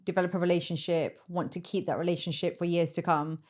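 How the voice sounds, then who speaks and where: A young woman raised in the UK hosting a podcast interview, chatty and quick to laugh, thinking aloud with everyday comparisons. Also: fairly low pitch, level tone, a little mellow. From United Kingdom. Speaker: podcast host. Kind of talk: casual interview chat. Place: podcast studio.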